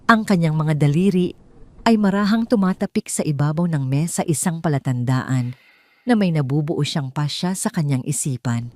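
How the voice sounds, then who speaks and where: A woman's voice: low voice, normal speed, no echo. A woman with a Filipino accent reading a scripted dramatic story as its narrator.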